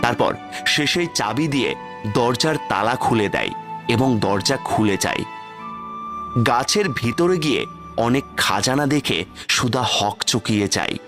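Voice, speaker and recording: A man narrating a cartoon fairy tale with steady, plain storytelling. His voice sits at an ordinary pitch, and faint music plays underneath. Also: measured pace, pauses often.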